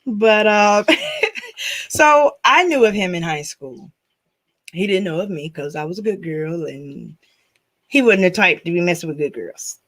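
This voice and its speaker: A woman's voice: on the low side, expressive, measured pace, fairly bright tone. A woman hosting a podcast, chatting casually, teasing her guest and laughing often. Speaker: podcast host. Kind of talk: casual chat.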